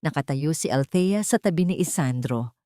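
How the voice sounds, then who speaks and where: A woman's voice: low-pitched, lively intonation, moderate pace, dark tone, no echo, clean studio sound. A woman storyteller reading scripted love-story drama in a solemn, dramatic manner.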